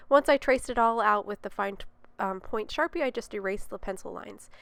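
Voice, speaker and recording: A woman's voice: medium pitch, normal speed, creaky, a bit bright, smooth, dry recording. Congested, chatty woman craft tutor. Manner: chatty and upbeat, explaining as she works. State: congested, slightly sick. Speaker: card-making tutorial host. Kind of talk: step-by-step craft voice-over.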